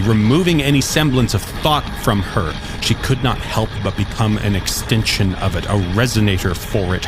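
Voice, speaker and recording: A man's voice: on the low side, noisy background. A man narrating a scripted science fiction story. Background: music under the voice.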